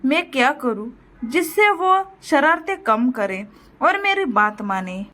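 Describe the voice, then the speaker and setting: Woman playing old Indian village grandfather, cartoon story, high voice, worried, pleading, lamenting. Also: big pitch swings, slow, sharp tone, exaggerated voice. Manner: lamenting, pleading, repeats himself. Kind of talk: moral story cartoon dialogue.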